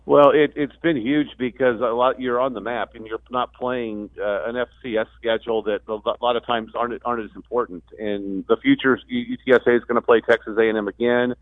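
A man talking as a guest sportscaster on a sports talk radio show, explaining things in a halting way with repeated words. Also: medium pitch, steady tone, no room sound. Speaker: radio play-by-play announcer as guest. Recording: thin phone-like line.

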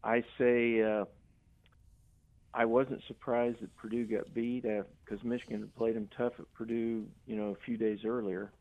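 A man's voice: mid-range voice, flat delivery, moderate pace, a little mellow. A man in his sixties or older phoning a sports radio show to give his casual opinions. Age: 60s or older. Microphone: telephone. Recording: thin, narrow phone line.

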